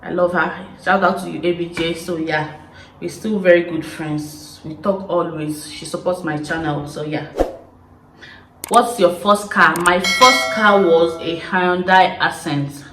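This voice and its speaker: A young woman from Nigeria with an Igbo accent, casually telling stories to camera in an expressive, chatty way. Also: on the low side, a little slow, echoey room, background noise. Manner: chatty, expressive, laughing storyteller. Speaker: YouTuber. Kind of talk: casual confessional chat to camera.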